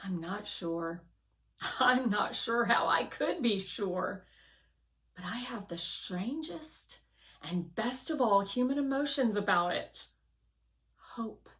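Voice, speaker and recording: A woman's voice: mid-range voice, lively intonation, takes it slowly, fairly bright tone. A woman voicing a hopeful, determined robot rover, earnest and reassuring, in a children's storybook.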